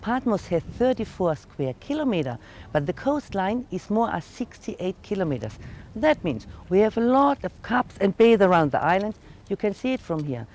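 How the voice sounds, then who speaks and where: A woman's voice: mid-range voice, big pitch swings, normal speed, darkish tone. German-accented woman guiding a tour outdoors. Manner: friendly explaining, non-native grammar. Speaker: tour guide. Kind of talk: guided tour talk. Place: outdoors on a hilltop.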